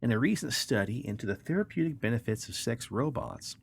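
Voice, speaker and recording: A man's voice: mid-range voice, expressive, a little fast, vocal fry, slightly rough. A man hosting a podcast, reading articles aloud and chatting in an informal, colloquial way. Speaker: podcast host.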